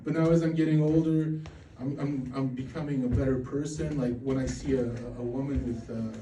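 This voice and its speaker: Male stand-up comic, forties, from Poland, mellow low-key delivery, roomy club sound from a distance. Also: fairly even intonation, a little fast, a little hiss or hum. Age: 40s. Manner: rambling, self-mocking, with crude punchlines and frequent restarts. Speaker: stand-up comedian. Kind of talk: stand-up routine. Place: comedy club stage.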